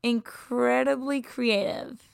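A woman's voice: on the high side, fairly even intonation, slow, gravelly, clean tone, no room sound, clean studio sound. A woman in her twenties from Puerto Rico, chatting casually about herself as a podcast host, upbeat and laughing often, sometimes dropping into a whisper. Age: 20s. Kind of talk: casual self-introduction.

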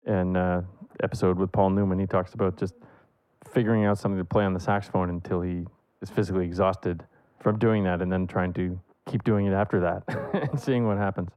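A man hosting a music interview show, asking questions in a relaxed, conversational way. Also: low-pitched, fairly quick, smooth, no room sound. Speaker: podcast host.

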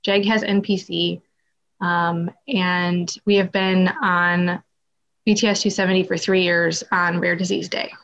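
A woman's voice: lowish voice, little pitch movement, a little slow, a bit bright. A woman, a parent on a panel, giving plain facts about her family. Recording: dull lo-fi audio.

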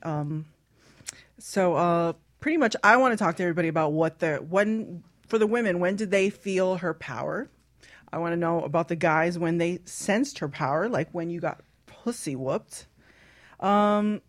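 A woman hosting a talk radio show, speaking frankly and explicitly in a casual chat with filler ums. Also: lowish voice.

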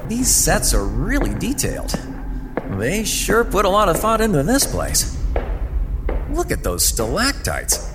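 A woman playing a flustered, theatrical cartoon character with halting delivery. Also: medium pitch, huge pitch swings. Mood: curious. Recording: music bed under the voice.

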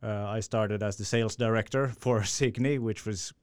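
Smooth-voiced man. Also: fairly low pitch, steady tone, moderate pace, no echo. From Finland. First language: Swedish. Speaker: sales director. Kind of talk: podcast interview answer.

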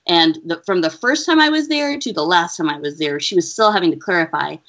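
Woman, lower pitch, earnest explainer, interview guest, dull lo-fi audio. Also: lively intonation, a little fast, fairly bright tone. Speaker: education researcher, interview guest.

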